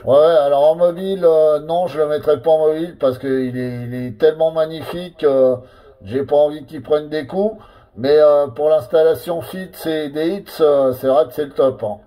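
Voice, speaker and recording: A man operating a CB radio, chatting casually with lots of pauses and filler words. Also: on the high side, fairly even intonation, dark tone. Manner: hesitant, full of fillers and tags.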